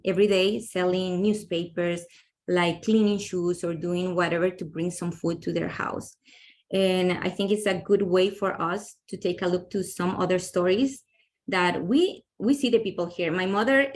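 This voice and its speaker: Woman presenting books, chatty. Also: on the low side. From Honduras. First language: Spanish. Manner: enthusiastic, chatty, many fillers. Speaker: book presenter. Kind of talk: webinar book presentation.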